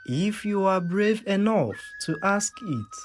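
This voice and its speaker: A storyteller voicing a wise, gentle old grandmother and village griot, giving solemn counsel in a folktale. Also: mid-range voice, animated, slow pace, slight background noise.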